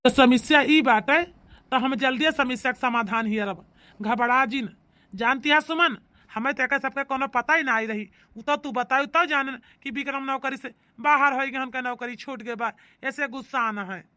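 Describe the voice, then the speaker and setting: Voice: high-pitched, normal speed, bright, forceful tone, dry recording. A performer voicing a protective, firm elder brother in a cartoon comedy, with an Awadhi accent and an emphatic delivery. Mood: reassuring. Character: protective married man, elder brother, firm problem-solver.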